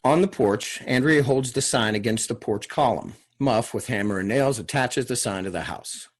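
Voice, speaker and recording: Husky man reading screenplay directions. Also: medium pitch, moderate pace. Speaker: reader of stage directions. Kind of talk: scripted screenplay narration.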